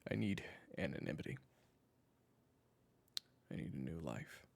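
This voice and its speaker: A man playing a weary, sardonic assassin with a gravelly voice and halting delivery in an audio drama. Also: rumbling low, big pitch swings, a little mellow, full character voice. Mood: anxious, resolute. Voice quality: gravelly, raspy. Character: weary gravel-voiced male assassin desperate to protect his family. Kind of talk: audio roleplay drama acting.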